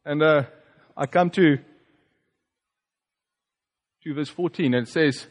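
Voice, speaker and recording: South African man preaching in a reverberant hall. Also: fairly high pitch. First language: Afrikaans. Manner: reflective, conversational, halting with fillers. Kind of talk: personal testimony sermon.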